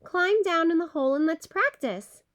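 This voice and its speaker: A woman voicing a frustrated, ailing male groundhog in a children's storybook, giving expressive instructions. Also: extremely high pitch, moderate pace, voice pushed up. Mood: instructing. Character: sick, frustrated male groundhog seeking a stand-in.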